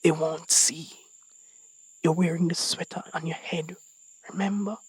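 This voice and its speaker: A man's voice: a little high, fairly even intonation, measured pace, breathy edge, dry recording, voice pushed up, low-quality recording. A man voicing a timid, frightened little boy in a story reading, with a weak, feather-light voice. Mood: timid, hushed.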